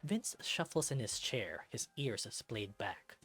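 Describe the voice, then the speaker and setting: A man's voice: mid-range voice, big pitch swings, slow, a bit bright, breathy edge. A man reading first-person narration from a visual novel as a scripted read. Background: music.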